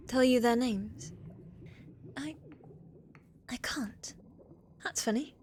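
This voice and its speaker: A woman playing a shy, eager young witch's apprentice in a fantasy ASMR roleplay, soft and hesitant, often slipping toward a whisper. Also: fairly high pitch, a little hiss or hum, lightly acted. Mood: confused, uneasy.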